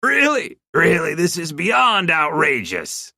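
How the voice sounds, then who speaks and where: A man's voice: medium pitch, animated, normal speed, fairly bright tone, dry recording, clean studio sound, voice pushed up. A man voicing a smug older leader who laughs off his wife's accusations and talks down to others. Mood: amused, mocking. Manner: amused, dismissive, laughing. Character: smug older male cult leader, mocking.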